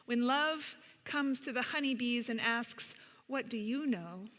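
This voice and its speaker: Woman storyteller, higher-pitched, bright voice, slow deliberate read-aloud for children, long hall reverb. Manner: unhurried, deliberate phrasing with pauses. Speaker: storyteller reading to children at a church service. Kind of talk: picture-book read-aloud. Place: large indoor church sanctuary.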